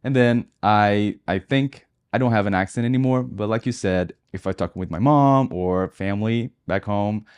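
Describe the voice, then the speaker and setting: A soft-spoken, shy man from Brazil who speaks English with a Brazilian Portuguese accent, talking in a measured way as a podcast co-host. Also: lowish voice, clean tone. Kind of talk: casual conversation.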